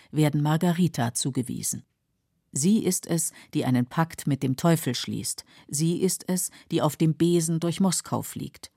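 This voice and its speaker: Low, mellow, husky female narrator. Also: no echo, clean studio sound. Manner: measured, explanatory. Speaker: radio presenter explaining a novel. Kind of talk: scripted literary feature narration.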